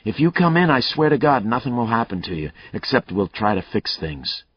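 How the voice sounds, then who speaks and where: A man's voice: fairly low pitch, moderate pace, slightly rough, highs cut off, voice pushed up. A male narrator voicing a blunt, determined police detective in an audiobook, talking in an urgent, plain way.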